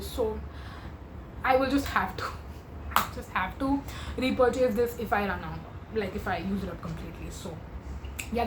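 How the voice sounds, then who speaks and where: A young woman talks through her makeup in an eager, rambling way, full of fillers. Her voice sits a little high and is going hoarse. The room echoes, with a faint hum behind her. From India. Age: 20s. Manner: chatty and gushing, with many fillers. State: losing her voice. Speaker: beauty vlogger. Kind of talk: casual makeup chat to camera.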